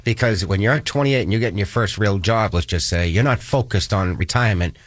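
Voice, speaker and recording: A man's voice: lowish voice, brisk, dry recording, studio quality. A middle-aged man, a financial advisor, explaining retirement planning step by step in a casual chat. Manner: explanatory, builds examples step by step.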